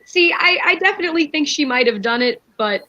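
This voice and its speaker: Bright-voiced woman, slightly muffled. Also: high voice, expressive, fairly quick. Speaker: guest comedy performer. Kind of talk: casual improvised comic interview.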